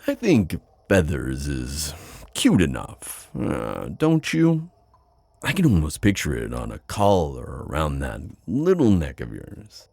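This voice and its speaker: A man playing a teasing, predatory buyer at a supernatural auction in a flirty, intimate ASMR roleplay, with a deep, breathy, taunting delivery. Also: huge pitch swings, a little mellow, no room sound, exaggerated voice. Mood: teasing, menacing. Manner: slow, taunting, smooth-talking with drawn-out pauses.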